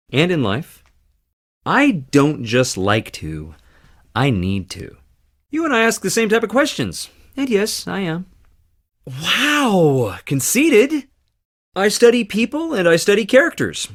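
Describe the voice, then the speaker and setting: A man's voice: mid-range voice, a bit bright. A man voicing a cheeky, theatrical young man in a video game, with a playful, teasing and dramatic delivery. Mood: confiding, then playful, self-assured.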